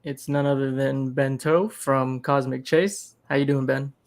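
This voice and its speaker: A man's voice: medium pitch, steady tone, measured pace, vocal fry. A man hosting a casual livestream chat about collectibles, laid-back and easygoing, often calling people "man", speaking over a video call. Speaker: host.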